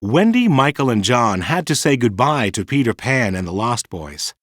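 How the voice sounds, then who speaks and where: Animated male storybook narrator. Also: mid-range voice, moderate pace, no echo, studio quality. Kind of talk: scripted children's storybook narration.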